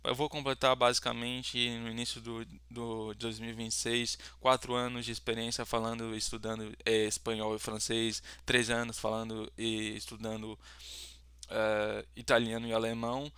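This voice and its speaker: A man's voice: mid-range voice, completely flat delivery, normal speed, pushed and bright, smooth, no room sound. A young Brazilian man with a Brazilian Portuguese accent, talking casually about teaching languages, rambling with many fillers and restarts. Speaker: language tutor. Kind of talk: casual self-introduction to camera.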